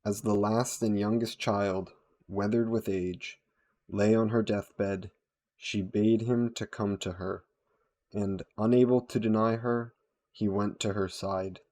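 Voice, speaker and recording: Low, very slow male storyteller. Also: steady tone, mellow. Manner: solemn, measured, dramatic. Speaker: storyteller, narrator. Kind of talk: scripted narration of a dark fantasy tale.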